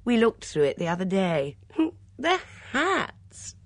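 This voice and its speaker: A woman's voice: mid-range voice, very animated, slow pace, no room sound, pitched above the performer's own voice. A woman voicing a cheeky, teasing young man in a story, with a jaunty manner.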